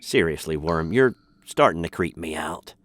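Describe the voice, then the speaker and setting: A man's voice: deep voice, lively intonation, normal speed, fairly bright tone, faint music behind. A man playing an exasperated, awkward American captive in a radio sitcom, polite but indignant, with an American accent. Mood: uneasy, reluctant. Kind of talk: comedy radio sitcom acting.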